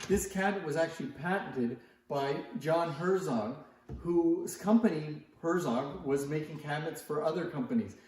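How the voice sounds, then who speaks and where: The recording rings with strong room echo. A man with a somewhat high-pitched voice presents in a casual, unscripted way. He is conversational and muses aloud as he goes. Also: darkish tone. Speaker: presenter of antique phonograph demonstrations. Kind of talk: casual unscripted show-and-tell commentary. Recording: very echoey, reverberant room.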